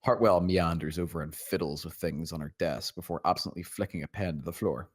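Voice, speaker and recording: A man's voice: low voice, a little fast. A man narrating a script's stage directions at a table read.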